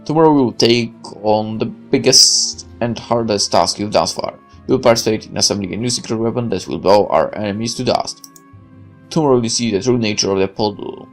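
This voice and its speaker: A man voicing a stern, commanding factory master in a visual novel, measured, formal and authoritative. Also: lowish voice, pitched below the performer's own voice, close to an everyday voice. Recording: music under the voice.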